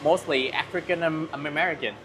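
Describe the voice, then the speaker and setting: A man from Vietnam, a guest telling his story quickly with stumbles and loose grammar. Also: a little high, noisy background. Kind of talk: casual interview.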